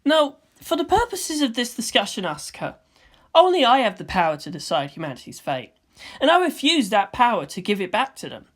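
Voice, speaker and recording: A woman voicing a calm, philosophical boy with firm conviction, speaking in measured, oratorical phrases for a fan fiction reading. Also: mid-range voice. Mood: solemn. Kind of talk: fan fiction audiobook character voice.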